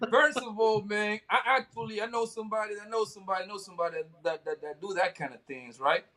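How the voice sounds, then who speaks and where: Male panelist, higher voice, emphatic slang, casual. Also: fast, pushed and bright, rough recording. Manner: emphatic, repeats himself, heavy slang. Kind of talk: casual street-slang commentary.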